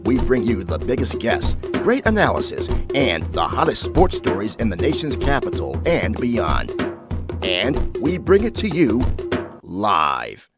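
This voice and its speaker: Animated male announcer over music. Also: medium pitch, unhurried. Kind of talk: scripted sports show intro voice-over. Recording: music bed under the voice. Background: intro music.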